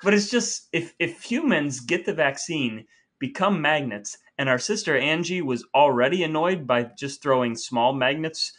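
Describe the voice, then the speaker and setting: Male podcast host, bright voice, wordy comic delivery with a mock-grand streak. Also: on the high side, lively intonation, moderate pace. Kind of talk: casual comic banter, partly dramatic reading of texts.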